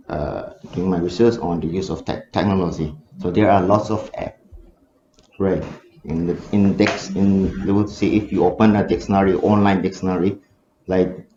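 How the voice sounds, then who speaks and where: Young Manipuri-accented man, low and mellow voice, halting research student in an echoey room. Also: a little hiss or hum. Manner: hesitant, many fillers and rhetorical "right?". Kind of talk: academic discussion, answering questions. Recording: echoey room, dull lo-fi audio.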